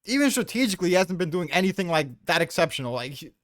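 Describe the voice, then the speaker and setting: A man's voice: high voice, big pitch swings, racing, no echo, studio quality. A man in a casual debate, arguing in profane, slangy speech.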